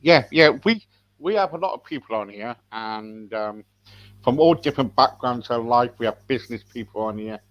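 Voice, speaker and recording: A man from England hosts a casual show, rambling warmly through his introductions, with many fillers. His voice sits in a middle pitch, and the recording sounds a little dull and lo-fi. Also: lively intonation, moderate pace. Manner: rambling, full of fillers and restarts. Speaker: podcast host. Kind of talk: casual radio-style interview hosting.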